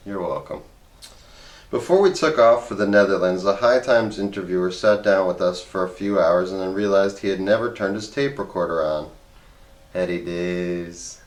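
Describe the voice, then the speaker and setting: Low-voiced man reading aloud, roomy echo. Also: moderate pace. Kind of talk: expressive audiobook-style reading of a comic memoir. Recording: roomy, echoey voice recording.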